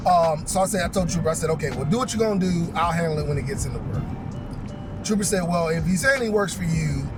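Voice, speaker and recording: A man with a fairly high-pitched voice tells a story in a casual, conversational manner. He repeats phrases to press his point. He sounds a little away from the microphone, with loud music underneath. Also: fast. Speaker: police chief telling stories. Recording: distant mic, music playing behind. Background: clearly audible music.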